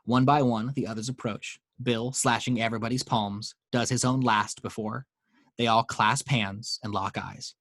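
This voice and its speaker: A man's voice: mid-range voice, fairly even intonation, moderate pace, fairly bright tone, dry recording. A man reading action descriptions as narrator in a dramatic screenplay table read. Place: at home.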